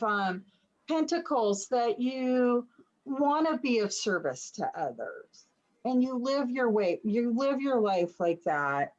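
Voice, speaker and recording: A woman's voice: fairly high pitch, a little mellow. A woman giving a casual, conversational reading as a tarot reader over a video call, reassuring and encouraging. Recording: slightly muffled video call audio.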